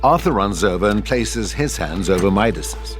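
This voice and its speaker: A man's voice: fairly low pitch, lively intonation, moderate pace, soft background music. A man narrating a scripted drama, reading stage directions. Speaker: narrator of a script table read.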